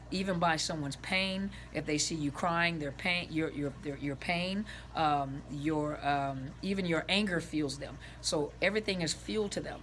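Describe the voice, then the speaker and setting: Raspy-voiced woman counselor, rambling. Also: low voice, fairly bright tone, slight background noise. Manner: rambling, earnest, repeats and restarts phrases; gestures with her hands as she talks. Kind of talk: informal advice talk to viewers. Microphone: camera set on the floor.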